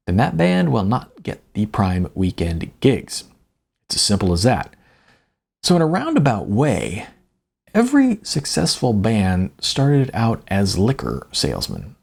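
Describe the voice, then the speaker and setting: Gravelly man narrating, lively pitch swings. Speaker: author reading own novella. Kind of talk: audiobook narration, first-person storytelling.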